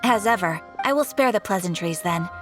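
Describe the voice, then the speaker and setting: A woman playing a polite, formal guild receptionist who gives measured briefings in a video game, with music behind her. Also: mid-range voice, lively intonation, lightly acted. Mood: courteous, businesslike. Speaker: quest-giving guild receptionist. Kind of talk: scripted video game dialogue.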